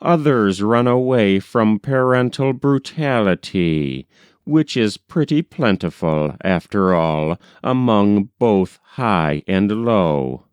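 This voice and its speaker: Slow, low-voiced man narrating, smooth and clear. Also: lively intonation, dry recording. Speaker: audiobook narrator. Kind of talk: scripted narration of a 19th-century novel.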